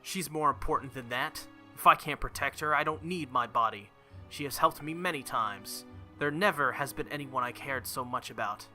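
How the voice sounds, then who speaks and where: Bright-voiced man reading a visual novel. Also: medium pitch, moderate pace, soft background music. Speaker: let's play video host.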